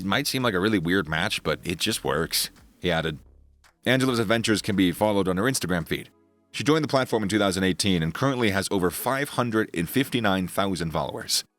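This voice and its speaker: A man reading a scripted sports news narration in a steady voice, reading the quotes in the same voice as the rest. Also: deep voice, no echo. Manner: steady read-aloud delivery, reads quotes in the same voice. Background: faint background music.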